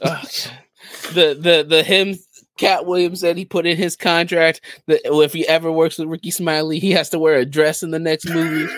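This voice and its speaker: A man's voice: on the high side, little pitch movement, moderate pace, fairly bright tone, no room sound, clean studio sound. A man chatting casually, in a conversational storytelling manner. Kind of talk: casual chat.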